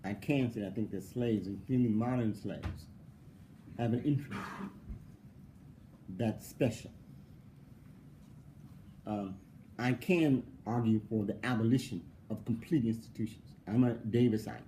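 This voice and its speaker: A man's voice: lowish voice, unhurried, pauses often, warm tone, slight background noise, low-quality recording. A male philosophy professor answering an interviewer in a conversational way. He asks mocking rhetorical questions, laughs often and repeats points for stress.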